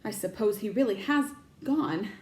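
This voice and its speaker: A woman's voice: mid-range voice, normal speed, darkish tone, lightly acted. A woman voicing a stern, anxious older witch professor from a storybook, exasperated and emphatic, faltering when upset, in an echoey room. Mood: exasperated, urgent.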